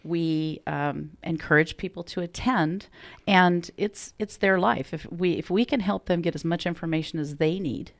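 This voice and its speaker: A woman with a low voice and a gravelly edge, talking earnestly as a guest on a radio interview. She tells her stories with quoted back-and-forth, and her voice sits close and dry on the microphone. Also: normal speed, no echo. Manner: earnest, storytelling with quoted dialogue. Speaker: program director, radio guest.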